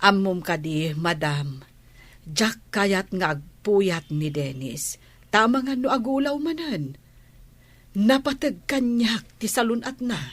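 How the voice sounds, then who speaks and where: An actress playing a devoted, respectful but steadfast household nanny in a Filipino radio drama, with a deferential, earnest manner and a Filipino accent. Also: female voice, medium pitch, lively intonation, takes it slowly, dry recording. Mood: firm, respectful.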